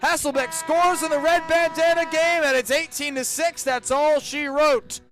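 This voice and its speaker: A young American man calling live lacrosse play-by-play for radio, with emphatic, dramatic calls that swell into shouting. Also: extremely high pitch, steady tone, normal speed, faint music behind. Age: young adult. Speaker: student radio play-by-play broadcaster. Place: stadium, calling games in person.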